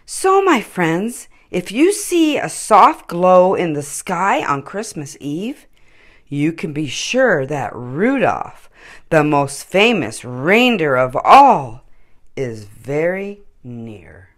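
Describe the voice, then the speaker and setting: A middle-aged woman narrating a children's story in a deliberate, expressive way. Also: lowish voice. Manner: deliberate, animated. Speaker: children's story time reader.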